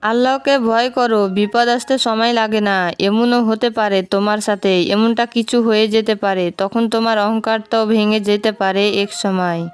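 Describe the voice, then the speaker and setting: A woman narrating a scripted cartoon moral story in a clear, smooth voice, also speaking the wives' parts. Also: mid-range voice, steady tone, moderate pace, dry recording.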